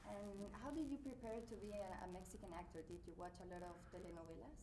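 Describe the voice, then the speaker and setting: With a busy crowd audible behind her, a woman with a Chilean accent asks questions in a press interview. Her voice is mid-pitched and she speaks hesitantly, searching for words. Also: quick pace, poor audio. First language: Spanish.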